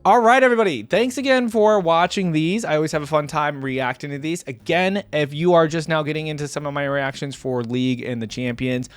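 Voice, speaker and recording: A man's voice: on the high side, brisk, few pauses, smooth, dry recording. Casual male reaction host, dry close sound. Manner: conversational, rambling, addresses viewers directly.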